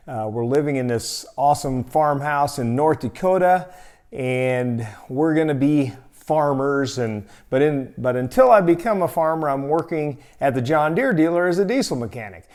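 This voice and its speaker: An American man telling a personal story in a casual, folksy, expressive and conversational way as a storytelling host. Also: a little high.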